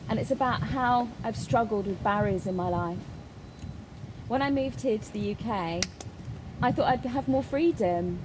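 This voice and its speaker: A woman voicing a signed personal statement as an interpreter, in halting phrases with pauses. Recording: clear background noise, ambient rumble.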